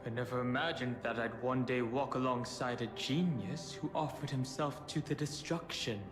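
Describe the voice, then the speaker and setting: A man's voice: mid-range voice, fairly even intonation, moderate pace, music in the background, lightly acted. A man playing a grave, stoic young hero in a video game, brooding and restrained, echoing over ambient noise. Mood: wary. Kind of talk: dramatic game voice acting.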